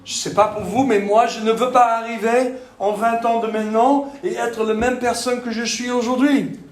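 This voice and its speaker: A man from Texas with a Texan accent, speaking French as a second language, preaching a sermon with jokes and halting fillers. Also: very high-pitched, fairly even intonation. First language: English. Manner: joking, self-deprecating, halting with fillers. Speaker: pastor. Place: church hall. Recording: echoey church hall.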